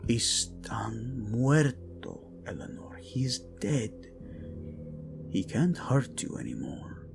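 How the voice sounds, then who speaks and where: A man's voice: mid-range voice, wildly swooping pitch, takes it slowly, creaky, over music, full character voice. A man playing a proud, brooding young prince in an audio drama, curt and sardonic, with a Spanish accent. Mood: grave, skeptical. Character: brooding, proud young prince, defensive about his dead brother.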